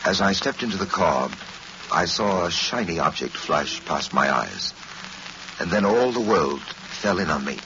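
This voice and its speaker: An actor playing a grimly determined hard-boiled detective, narrating tersely and dramatically in an old-time radio noir. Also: male voice, deep voice, big pitch swings, unhurried, heavily acted. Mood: grim, urgent. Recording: very noisy, muffled vintage radio recording.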